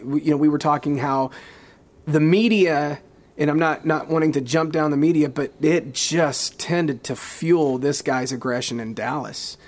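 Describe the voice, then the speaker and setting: A man with a somewhat high voice, talking in a casual podcast conversation. He speaks earnestly but haltingly, repeating words as he looks for the right ones. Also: a little mellow. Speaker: podcast co-host.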